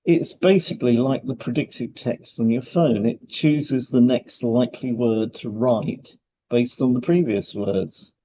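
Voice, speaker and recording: Mellow man podcasting, slightly muffled sound. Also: medium pitch, measured pace, slight background noise. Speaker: podcast co-host and hypnotherapist. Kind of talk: semi-scripted podcast discussion.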